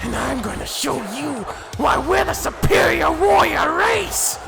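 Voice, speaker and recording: A woman's voice: high voice, animated, unhurried, creaky, shouting, loud music behind the voice, exaggerated voice. A woman playing a cocky, taunting Saiyan warrior villainess with a brash manner in an anime-style fan drama. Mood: taunting, boastful. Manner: taunting, brash, foul-mouthed.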